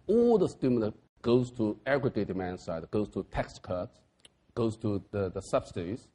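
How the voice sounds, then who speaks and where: A man's voice: fairly low pitch, warm tone, breathy edge. A man on a panel discussion explaining economic policy point by point, with non-standard English grammar.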